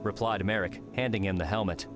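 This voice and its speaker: A man with a fairly low voice narrates a dramatic adventure audiobook. He reads scripted prose as a storyteller, with music playing clearly behind him. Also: steady tone, fairly quick.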